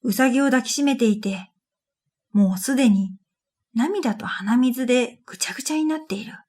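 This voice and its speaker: A woman narrating a scripted first-person radio drama in a gentle, unhurried way, with breathy phrase endings. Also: fairly high pitch. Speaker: narrator and storyteller. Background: new-age music.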